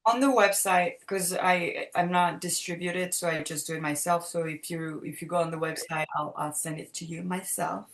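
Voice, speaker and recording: A woman's voice: lowish voice, steady tone, normal speed, hardly any pauses, slight background noise. A young woman from France, a singer, talking casually and loosely with many fillers on a video call. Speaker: singer, interview guest. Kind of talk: casual interview talk.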